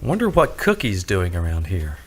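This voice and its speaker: A man's voice: deep voice, wildly swooping pitch, moderate pace, husky, full character voice. A man playing a shrewd, skeptical private detective in a radio drama, dry and probing as he questions and narrates. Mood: casual, watchful.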